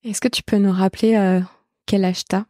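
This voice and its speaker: A woman's voice: mid-range voice, little pitch movement, moderate pace, warm tone, no room sound, clean studio sound. A woman in her late thirties hosting an intimate conversational podcast. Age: late 30s. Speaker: podcast host.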